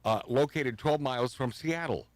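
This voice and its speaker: A man's voice: mid-range voice, normal speed, no echo. A man hosting a talk radio show, casual and combative, mocking and swearing as he reacts to clips. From Pacific Northwest of the US. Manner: animated, combative, swears and mocks.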